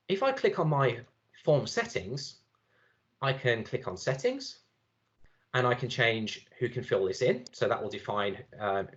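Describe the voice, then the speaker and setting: A man giving a relaxed, chatty software demo at a conference, with many fillers and restarts. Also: mid-range voice, dull audio. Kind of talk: live screen-share demo talk.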